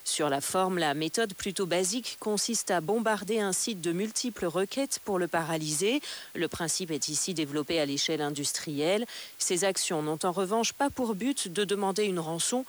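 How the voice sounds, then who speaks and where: A woman's voice: lowish voice, normal speed. A woman reporter reading a scripted radio news report. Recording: hissy FM radio recording.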